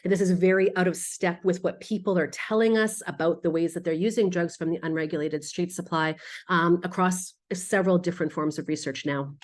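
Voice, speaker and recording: Lower-pitched woman presenting research. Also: steady tone, brisk. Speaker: public health researcher presenting findings. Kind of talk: research presentation, semi-scripted explanatory talk.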